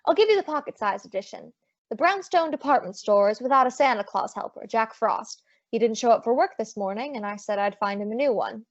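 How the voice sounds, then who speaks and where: A woman's voice: a little high, lively intonation, brisk, dry recording. A woman playing a sassy, breezy lady detective with wry quips in an old-time radio drama. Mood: playful. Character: sassy, wisecracking lady private detective, confident and flirtatious. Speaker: detective lead and narrator. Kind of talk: old-time radio detective acting and first-person narration. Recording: dull lo-fi audio.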